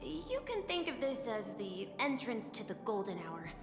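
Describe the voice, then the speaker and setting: An actress playing a gentle, eager, apologetic young female guide who sounds polite and earnest in a video game. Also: fairly high pitch, very animated, moderate pace. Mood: polite, welcoming. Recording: echoey hall reverb, music behind.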